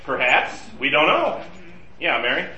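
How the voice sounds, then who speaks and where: A man's voice: on the high side, sing-song, a little slow, pushed and bright, highs cut off. A man teaching a Bible study class in a conversational way, asking his group questions. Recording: roomy and distant from the mic.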